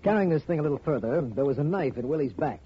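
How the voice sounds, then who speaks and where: An actor playing a confident, emphatic, formal district attorney in a 1950s radio drama. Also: male voice, a little high, expressive, fast talker, natural voice. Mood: assured. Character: confident, brisk, self-assured district attorney. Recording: muffled, noisy old radio recording.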